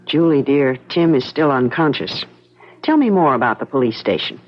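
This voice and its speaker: An actress in a 1970s radio drama playing a calm, motherly woman who probes gently and with measure. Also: rumbling low, moderate pace. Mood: gently probing. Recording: dull, lo-fi 1970s radio recording.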